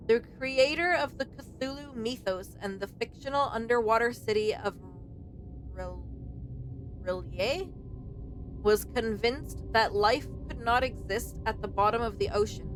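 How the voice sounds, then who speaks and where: A woman's voice: a little high, fairly bright tone, a little hiss or hum, low-quality recording. A young woman doing casual let's play commentary, reacting informally and reading on-screen text aloud. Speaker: streamer playing and reacting to a game.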